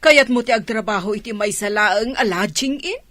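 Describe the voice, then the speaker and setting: A woman acts as a fretful middle-aged Ilocano mother in a radio drama. She questions and frets in a medium-pitched voice. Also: moderate pace, fairly bright tone, no room sound, natural voice. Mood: worried.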